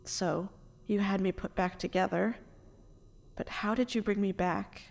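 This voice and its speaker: An actress playing a grieving, stitched-together woman brought back from death, speaking in anguished, halting phrases in an audio drama. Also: mid-range voice, steady tone, vocal fry, darkish tone. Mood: wary, questioning. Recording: long reverb, music underneath.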